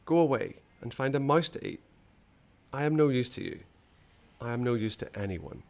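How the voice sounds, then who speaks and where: A man's voice: medium pitch, animated, normal speed, creaky, a little mellow. A man voicing a frightened little boy in a storybook, crying out and whispering breathlessly. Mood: weary despair. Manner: breathless, exclaiming cries and whispers. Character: frightened little boy lost in a winter forest.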